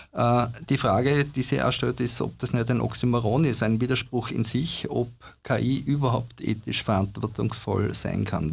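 A man talks hesitantly in a casual discussion, filling his pauses with hesitations. His voice is gravelly and husky, with a rough texture throughout. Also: mid-range voice. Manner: hesitant, with fillers.

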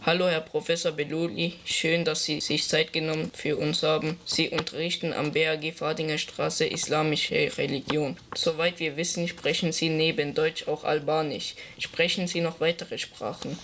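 A teenage boy reads out prepared interview questions in a polite, formal tone. His voice sits a little high for a male speaker. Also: level tone, moderate pace, hardly any pauses, a bit bright, slight background noise. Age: teens. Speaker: student interviewer.

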